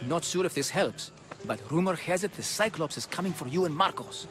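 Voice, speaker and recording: A man's voice: a little high, a little fast, lightly acted. A man playing a hearty, hard-bargaining shipbuilder in a video game cutscene, firm and haggling in manner. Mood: firm, dismissive. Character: hard-bargaining, hearty male shipbuilder selling his ship. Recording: loud background music.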